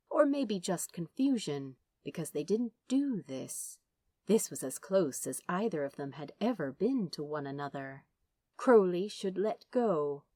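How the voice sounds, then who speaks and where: A woman reading fiction aloud as an expressive audiobook narrator. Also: lowish voice, no echo.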